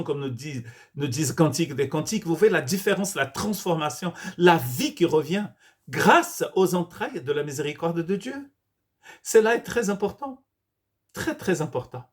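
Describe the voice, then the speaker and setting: A man's voice: fairly high pitch, animated, normal speed. A man from Brazil, a pastor, preaching a sermon in earnest, often restarting and repeating words. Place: church.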